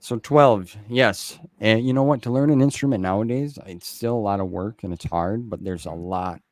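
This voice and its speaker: A man's voice: lowish voice, moderate pace, no room sound, studio quality. A man in his late thirties hosting a casual interview, chatting in an informal, chummy, rambling way. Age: late 30s. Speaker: interviewer and show host.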